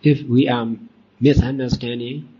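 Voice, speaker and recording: Husky man guiding a meditation. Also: medium pitch, little pitch movement, dark tone. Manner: deliberate short phrases with long pauses. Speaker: Buddhist monk and meditation teacher. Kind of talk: guided meditation dhamma talk. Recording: dull, muffled lo-fi recording.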